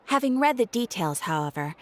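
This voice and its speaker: A woman's voice: on the high side, sing-song, brisk. A woman playing a composed, helpful guild receptionist in a video game, speaking formally, politely and precisely. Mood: courteous. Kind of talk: scripted game voice acting. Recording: clear noise behind, game stream.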